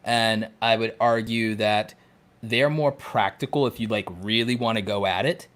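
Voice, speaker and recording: A man's voice: lowish voice, moderate pace, a bit bright. A man co-hosting a podcast in casual conversation, talking with jokey, nerdy enthusiasm. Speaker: podcast co-host.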